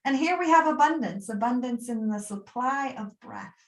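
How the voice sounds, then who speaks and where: A woman leading a guided meditation as a yoga nidra teacher, speaking soothingly and gently in short, unscripted phrases with pauses between them. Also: fairly high pitch, lively intonation, echoey room, distant mic, rough recording.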